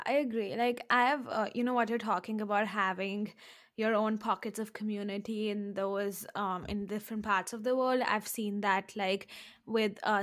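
A woman's voice: mid-range voice, a bit bright, no room sound, clean studio sound. A woman hosting a podcast, chatting in a reflective, casual way and often saying "like". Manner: reflective, frequent "like" fillers. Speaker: podcast host. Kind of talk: casual conversational interview. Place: in Minnesota.

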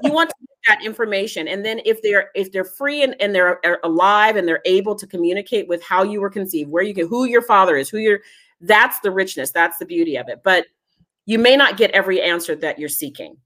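A woman guest talking through her work in a conversational interview. She speaks earnestly and with emphasis, circling back to repeat the lines that matter most. Her pitch sits in a middle range. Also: brisk, a bit bright. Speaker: genealogy foundation guest.

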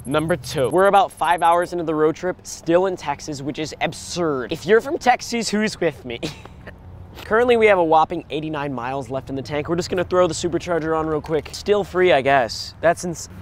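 Hoarse excitable young man vlogging. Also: on the high side, brisk, a little hiss or hum. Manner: excitable, joking, talks to viewers. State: losing his voice, hoarse. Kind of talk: casual road trip vlog. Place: inside a car and outdoors at charging stops.

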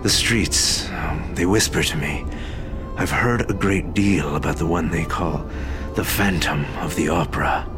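A man's voice: low-pitched, unhurried, over music. An actor playing a secretive male informant who hears whispers of the streets, in a radio drama. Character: Quasimodo, shadowy male Parisian informant.

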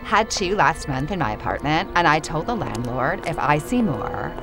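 Low-voiced, gossipy young single. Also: female voice, sing-song, music in the background. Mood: wary. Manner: gossipy, drawn-out slangy delivery. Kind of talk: campy B-movie audio drama acting.

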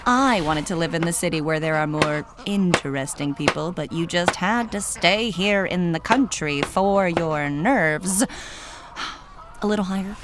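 A woman's voice: fairly low pitch, expressive, measured pace, background noise. A woman playing a bossy, catty Puritan village wife in a comic audio drama, fussy and falsely sweet. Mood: bossy, nagging.

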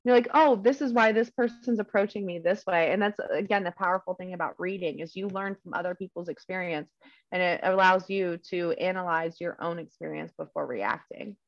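A woman's voice: lowish voice, a little fast, dry recording. A young woman talks with earnest enthusiasm in a casual podcast chat, full of "um"s and "like"s. Her voice sounds dry and close, a little dull and lo-fi.

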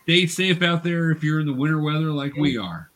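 A man hosting a tabletop game as game master, explaining things patiently and casually in a halting way. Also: fairly high pitch, a bit bright. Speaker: game master and show host. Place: remote video call.

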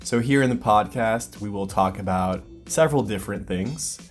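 Low-voiced American man hosting a podcast. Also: normal speed, faint music behind. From United States. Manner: explains patiently, gives clear examples. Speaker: podcast host. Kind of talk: casual language-learning podcast chat. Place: lives in Brazil.

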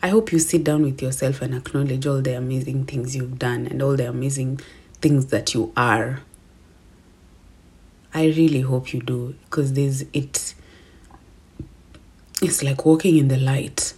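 A young Kenyan woman with a deep, low-pitched voice and a slightly husky edge talks through a casual solo podcast episode. She is chatty and self-affirming, and she laughs at herself easily. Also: fairly even intonation, measured pace, mellow. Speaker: podcast host.

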